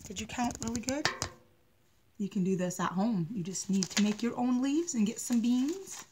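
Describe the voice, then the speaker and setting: A woman's voice: a little high, expressive, moderate pace, mellow, slight background noise. A middle-aged woman giving young children a simple, step-by-step hands-on lesson as a preschool teacher. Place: carpeted living room at home.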